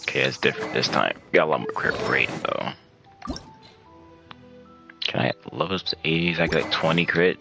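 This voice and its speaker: A man's voice: very deep voice, moderate pace, gravelly, pauses often. A man casually commentating on his gameplay as a streamer, rambling and muttering to himself. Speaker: game streamer. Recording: game music behind the voice. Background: video game music.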